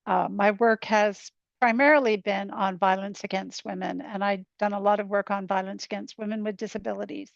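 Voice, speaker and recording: Creaky-voiced woman, dull dry audio. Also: mid-range voice, no room sound. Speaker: law professor on a panel. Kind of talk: introducing own work at a webinar.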